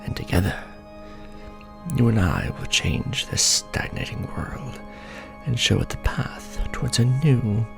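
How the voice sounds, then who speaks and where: A man playing an ancient, world-weary vampire lord in an intimate ASMR roleplay, speaking formally and grandly in a breathy near-whisper with soft menace. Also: medium pitch, animated, unhurried. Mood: apologetic, resolved. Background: music.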